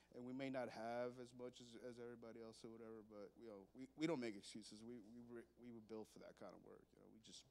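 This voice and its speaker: A man with a noticeably low voice speaks in a group discussion. He talks in a halting way, full of fillers and repeated words. A faint hiss of noise sits behind him. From immigrant to the United States. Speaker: audience member in a discussion. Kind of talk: casual spoken opinion.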